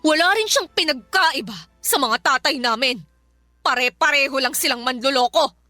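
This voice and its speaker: A woman's voice: sits high, normal speed, pushed and bright, dry recording, faint music behind. A woman with a Filipino accent playing a fed-up, protective young elder daughter in a radio drama, emphatic and reproachful. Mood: angry, reproachful.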